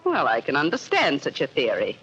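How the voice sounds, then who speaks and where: A sugary, sinister neighbor. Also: female voice, deep voice, wildly swooping pitch, brisk, fairly bright tone, exaggerated voice. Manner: chattering, falsely sweet. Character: sinister gossipy neighbor woman, sugary and menacing. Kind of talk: 1940s radio acting. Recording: muffled old radio recording with faint hiss.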